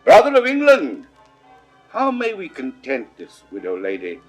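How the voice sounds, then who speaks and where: An actor playing a stately French king in a 1960s Shakespeare audio drama, with measured, drawn-out, declamatory phrasing. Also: high voice, huge pitch swings, soft background music, heavily acted, poor audio. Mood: troubled. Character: stately king of France, weighing a treaty.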